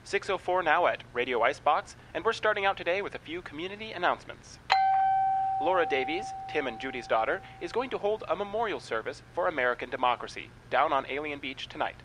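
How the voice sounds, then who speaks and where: An actor playing a cheeky small-town morning radio host, breezy and deadpan in a comedy radio play. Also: male voice, fairly high pitch, fast, background noise, close to an everyday voice. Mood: announcing, deadpan. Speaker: morning show announcer. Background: music.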